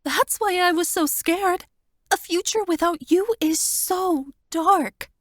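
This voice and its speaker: A woman's voice: very high voice, dry recording, clean studio sound, pitched above the performer's own voice. A woman voicing Wanda, a tearful and devoted young woman who pleads emotionally with her friend, her voice lifted well above the narrator's own.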